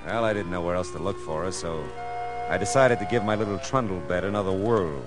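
An actor playing a weary, wry, hard-boiled private detective in a 1940s-style radio drama. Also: male voice, low voice, brisk, music in the background, natural voice. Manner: wry, dry, world-weary. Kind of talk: 1940s radio drama acting, first-person narration. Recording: noisy vintage radio drama recording.

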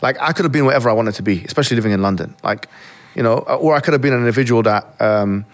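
A man's voice: mid-range voice, racing. A young man, originally from Egypt, earnestly reflecting as an interview guest, often restarting his sentences. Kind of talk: interview conversation.

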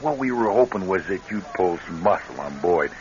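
An actor playing a wily, smugly amused private detective in a radio crime drama, with a casual, folksy, needling delivery. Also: male voice, low voice, expressive, fairly quick, a little mellow. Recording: dull, hissy old radio recording.

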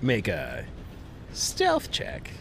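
A low-voiced man with a creaky, gravelly edge plays a tabletop game. He speaks slowly and haltingly, while his pitch swings dramatically up and down. There is noise behind the casual game chat. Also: huge pitch swings, a bit bright. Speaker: tabletop game player on a podcast. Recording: clear background noise.

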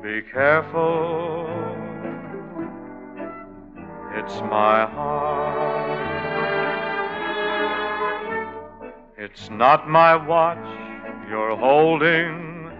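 An actor playing a lovestruck male innkeeper and crooner, talking in a fast, relaxed way in a 1940s radio drama. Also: a little high. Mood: tender crooning. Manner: relaxed, fast conversational storytelling. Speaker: lead actor. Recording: dull lo-fi 1940s radio recording. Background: orchestra music.

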